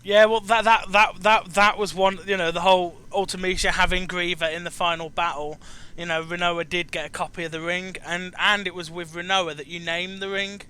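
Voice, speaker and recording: High-voiced stammering man chatting. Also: little pitch movement, fairly quick, sharp tone, smooth. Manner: stammering, repeats phrase openings. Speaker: host interviewing a guest. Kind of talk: casual chat about video games.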